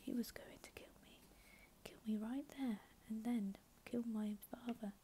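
Softly whispering woman storyteller. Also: fairly high pitch, steady tone, a little slow, a little hiss or hum. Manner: soft unvoiced whisper throughout. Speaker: narrator. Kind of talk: whispered ASMR reading of a fantasy novel.

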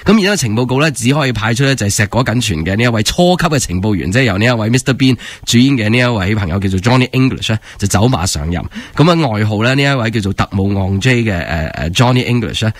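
A man's voice: lowish voice, lively intonation, fast, hardly any pauses, dry recording. A man with a Hong Kong Cantonese accent chatting casually as a radio host, in a conversational, loosely phrased way. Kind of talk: casual radio chat, rambling explanation. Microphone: close studio microphone.

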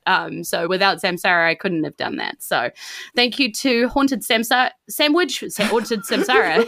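A woman's voice: mid-range voice, sharp tone, dry recording. A woman with a New Zealand accent hosting a podcast, giving chatty thanks and announcements in a gushing, appreciative way. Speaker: host and game master of a D&D podcast.

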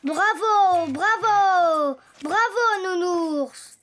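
Cartoon little donkey boy: tiny high voice, slow emphatic delivery, possessive and lively, faint music behind. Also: full character voice. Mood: playful, showy. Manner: childish, emphatic, whiny when refused. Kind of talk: French preschool cartoon dialogue.

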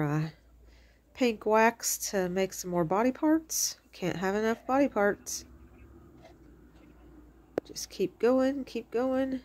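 A middle-aged woman with a slightly stuffy, congested voice, chatting casually through a home craft tutorial with lots of fillers. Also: lowish voice. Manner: rambling and chatty, many fillers. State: stuffy from allergies. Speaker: crafting channel host. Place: home kitchen.

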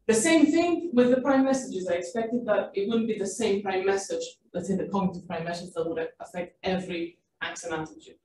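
A woman's voice: mid-range voice, a little fast, hardly any pauses, a little mellow. A woman researcher presenting her study at an academic seminar, explaining at length with fillers and self-corrections. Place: seminar room. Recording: echoey room, distant mic, faint hiss.

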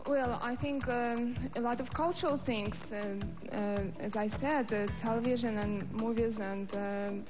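A young woman answering pageant questions on stage, hesitant and filling pauses. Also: fairly high pitch, steady tone. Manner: hesitant, many fillers. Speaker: pageant contestant. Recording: muffled broadcast with music.

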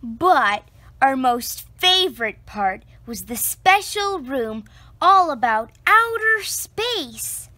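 Lively, slow-reading woman storyteller. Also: high voice, no echo. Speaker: picture book reader. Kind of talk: reading a children's book aloud.

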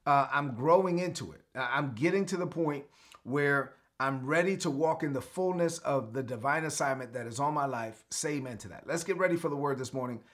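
A middle-aged man from Brooklyn preaches a daily live-streamed sermon at a medium pitch. He talks earnestly and declaratively and repeats key phrases so viewers can say them back. He is seated in a home studio, facing the camera. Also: brisk, fairly bright tone. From Brooklyn, New York. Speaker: pastor. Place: home studio with lit shelves.

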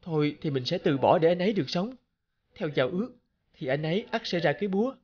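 A man playing a kind, selfless young sworn brother in an animated folk tale, with an earnest, pleading manner. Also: on the high side, quick pace, lo-fi sound. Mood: sad, resolute.

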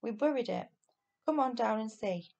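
A woman voicing an excitable, earnest and insistent young boy in a children's storybook reading. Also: a little high, normal speed, gravelly, muffled recording.

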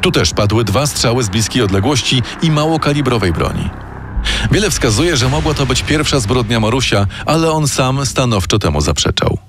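A man's voice: mid-range voice, big pitch swings. Dramatic male true-crime narrator over music. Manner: dramatic, measured.

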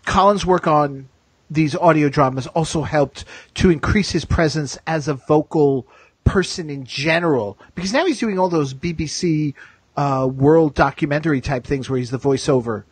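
A man with a somewhat high, husky voice hosts a casual fan chat. He talks in a roundabout way, adding asides as he goes, and the sound is fairly dry. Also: normal speed, dry recording. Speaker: host of a TV fan discussion show.

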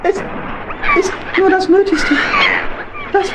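A woman's voice: extremely high pitch, fairly even intonation, slow, heavy background noise. A woman voicing a small male hedgehog, a chatty, eager and plaintive bachelor in a children's audio play. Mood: busy.

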